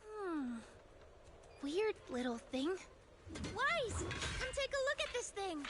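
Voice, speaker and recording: A woman's voice: extremely high pitch, big pitch swings, slow pace, loud music behind the voice, full character voice. A woman voicing an earnest, curious young tribeswoman in an anime-style game. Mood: bright, playful.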